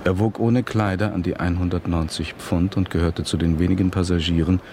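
Deep, deliberate narrator. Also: male voice, steady tone, a little mellow. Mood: formal, exacting. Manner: formal, deliberate, precise. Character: authoritative male narrator, formal and exactingly detailed. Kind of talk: scripted radio play narration. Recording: 1970s radio recording, faint noise.

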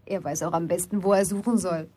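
A young woman playing a pert, impulsive city girl in a German radio drama, frank and questioning, with a husky voice. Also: on the low side, fairly even intonation, brisk, mellow. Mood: chatty.

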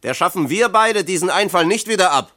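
An actor playing an evasive East German works official, measured and condescending, in a German radio play. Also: male voice, high-pitched, lively intonation, sharp tone. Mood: firm, official.

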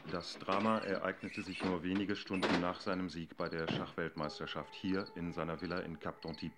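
A man's voice: low voice, flat delivery, normal speed. A man acting as a sober, factual news reporter in a radio drama. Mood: grave. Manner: serious, factual. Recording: loud background noise, chirping insects.

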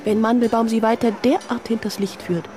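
An actress playing a composed, cultured spa guest in a formal, articulate radio drama style. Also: female voice, on the high side, steady tone, brisk, over music, close to an everyday voice. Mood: assured.

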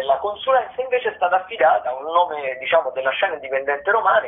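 Man on a thin phone line. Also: on the high side. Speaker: theatre critic giving weekly listings. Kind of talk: radio commentary, conversational listings. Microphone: phone call.

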